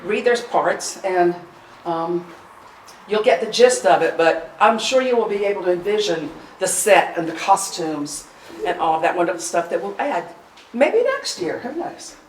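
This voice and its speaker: A woman's voice: mid-range voice, very animated, normal speed. Chatty woman addressing a room from afar. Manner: chatty, joking asides. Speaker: presenter introducing a staged reading. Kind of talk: informal pre-show welcome speech to an audience. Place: indoor arts venue, before a live audience. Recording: roomy, distant live-event recording with audience noise.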